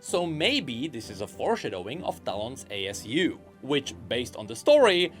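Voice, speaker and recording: A man's voice: mid-range voice, sing-song, normal speed, soft background music. A man commenting on game lore in a chatty, speculative voice-over.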